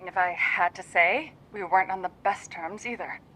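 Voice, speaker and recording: An actress playing a guarded, irritated housewife, curt and defensive with unwelcome visitors in a video game cutscene. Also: female voice, on the low side, normal speed, bright, forceful tone, a little hiss or hum, poor audio. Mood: annoyed, guarded. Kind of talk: English-dubbed video game cutscene acting.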